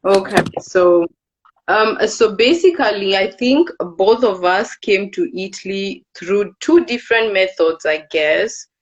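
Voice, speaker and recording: A young woman from Kenya, hosting a casual live video chat, unhurried and hesitant with many fillers. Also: mid-range voice, lively intonation. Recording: dull lo-fi livestream audio.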